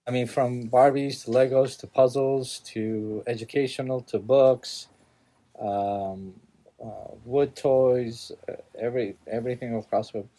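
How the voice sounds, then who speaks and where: A man speaks casually as an interviewed store owner. His voice is relaxed and a little soft-edged, at a middle pitch. He talks in a halting way, repeating words as he looks for the next one. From Southern California, United States.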